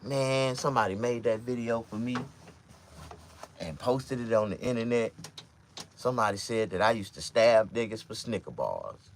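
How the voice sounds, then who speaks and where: A man around fifty with a Mississippi accent, talking to camera in a loose, profane, rambling monologue with sudden loud outbursts. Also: fairly low pitch, animated, normal speed, a little hiss or hum. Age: 50s. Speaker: vlogger and stand-up comedian.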